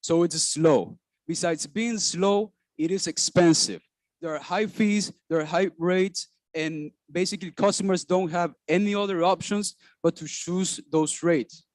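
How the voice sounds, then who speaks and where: Male presenter, high pitch, rehearsed pitch talk, dry mic. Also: measured pace, no room sound. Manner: steady, scripted delivery with enumerated points. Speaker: startup founder presenting.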